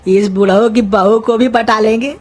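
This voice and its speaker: Woman voicing a high-pitched cheeky scheming young man. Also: steady tone, moderate pace, a little mellow, close to an everyday voice. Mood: sly, gleeful. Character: cheeky, scheming young male pumpkin-nicknamed servant. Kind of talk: Hindi cartoon comedy voice acting.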